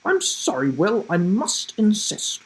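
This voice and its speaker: A man's voice: high-pitched, wildly swooping pitch, slow, pitched above the performer's own voice, full character voice. A man voicing a stern, easily shocked woman schoolteacher in a children's storybook, prim and insistent.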